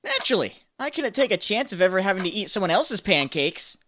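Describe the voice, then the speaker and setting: A woman's voice: mid-range voice, sing-song, fast, background noise, lightly acted. A woman voicing an earnest, wisecracking young superhero in a dramatic comic dub. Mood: cocky, joking.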